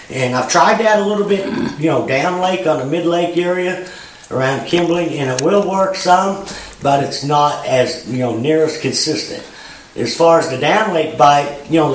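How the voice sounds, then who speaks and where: A middle-aged man with a Missouri Ozarks twang talks through a fishing report in a plain, rambling way. His voice sits a touch high for a man. It is heard from across a roomy garage, so it comes through echoey and distant. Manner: plain-spoken, rambling, frequent "you know" and "uh". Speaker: fishing guide giving a weekly report. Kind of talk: casual spoken fishing report. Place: garage with a bass boat, indoors. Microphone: far camera.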